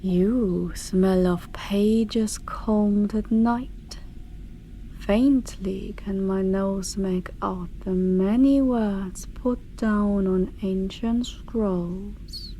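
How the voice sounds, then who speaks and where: Very slow, haughty ancient dragoness, acted by a woman. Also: medium pitch, fairly even intonation, dark tone, a little hiss or hum, rough recording. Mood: haughty, disdainful. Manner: grandiose, archaic, deliberate, breathy whispered passages. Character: ancient female dark dragon, eldritch, haughty, menacing, contemptuous. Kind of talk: slow ASMR fantasy monologue.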